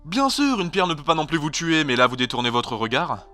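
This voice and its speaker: A man's voice: fairly high pitch, big pitch swings, fairly quick, pushed and bright, no room sound. A man voicing a grave, eccentric stranded time traveler in an amateur fandub, explaining things earnestly and dramatically. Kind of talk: amateur French fandub acting. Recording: home dub over background music.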